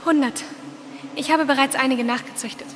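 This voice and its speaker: High-voiced intense strange girl, played by a woman. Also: steady tone, normal speed, a little hiss or hum, lightly acted. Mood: proud, self-assured. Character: strange intense girl, devoted keeper of wild bumblebees. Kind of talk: audio drama acting.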